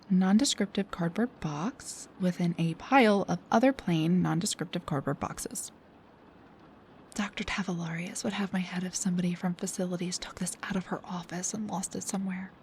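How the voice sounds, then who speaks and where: A young woman playing a snarky, high-strung research assistant in an intimate audio roleplay, with a halting, stammering, dramatic delivery. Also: darkish tone, slight background noise, natural voice. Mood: quiet musing. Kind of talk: intimate one-sided audio roleplay acting.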